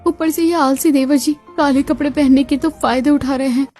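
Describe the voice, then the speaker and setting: A woman voicing a put-upon young bride in a family cartoon, expressive and dutiful, given to muttering. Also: high-pitched, a little fast, soft background music, pitched above the performer's own voice, natural voice. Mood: exasperated.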